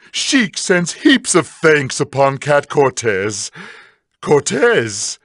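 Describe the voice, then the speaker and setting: An actor playing a sardonic evil mad scientist villain in a game, with pompous, mocking, drawn-out theatrical delivery. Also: male voice, on the high side, a bit bright, no echo, studio quality, heavily acted. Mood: gleefully sinister. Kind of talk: scripted comedic in-character monologue.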